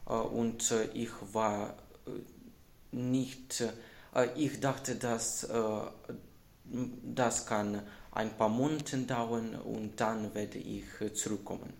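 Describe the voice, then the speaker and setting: A sixteen-year-old boy with a Ukrainian accent answers interview questions haltingly, searching for words. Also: fairly low pitch, fairly even intonation, creaky. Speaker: interviewee, refugee student.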